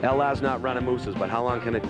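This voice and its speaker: A man hosting a motorsport show on location, talking in a jokey, wisecracking, high-energy way. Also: fast talker, loud music behind the voice. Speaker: show host.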